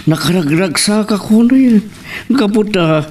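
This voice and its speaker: A man playing a lovesick middle-aged widower in an audio drama, speaking haltingly with an Ilocano accent. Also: high voice, lively intonation, a little mellow, recorded from a distance, slight background noise. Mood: yearning pleading. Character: lovesick widowed master pining for a young woman.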